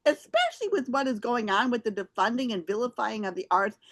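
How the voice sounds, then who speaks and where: A woman's voice: fairly high pitch, expressive, fairly quick. A woman reading out theater reviews as a show host. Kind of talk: scripted review read aloud.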